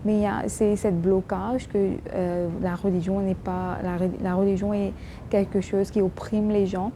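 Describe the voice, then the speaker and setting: Woman vlogger, non-native French with a strong accent, mid pitch, halting delivery; noisy take with a low rumble. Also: level tone, warm tone. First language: English. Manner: halting, many restarts and fillers. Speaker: YouTube vlogger. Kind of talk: casual talk to camera.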